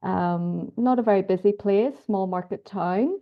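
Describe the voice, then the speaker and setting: A woman's voice: medium pitch, a little slow, narrow, tinny audio. A middle-aged woman with a Northern Irish accent, giving an informal personal presentation as a guest academic speaker. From Northern Ireland.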